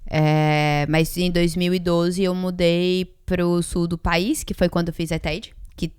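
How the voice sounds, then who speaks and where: A Brazilian woman of about thirty chatting casually as a podcast co-host, informal and quick to joke about herself. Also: on the low side, level tone, darkish tone, clear, resonant tone, no room sound, clean studio sound. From Ceará, Brazil.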